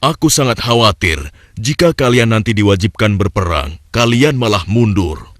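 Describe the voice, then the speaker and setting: A man voicing a grave, patient prophet in a religious cartoon, speaking in a solemn, measured, admonishing way. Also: lowish voice, animated, background noise, natural voice. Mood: worried. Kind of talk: Indonesian cartoon religious story dialogue.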